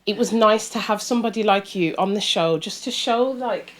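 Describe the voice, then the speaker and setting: A woman's voice: mid-range voice, normal speed. A woman co-hosting a casual podcast, chiming in supportively and echoing the others. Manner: supportive, echoing, soft asides. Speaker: podcast co-host. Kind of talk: casual chat.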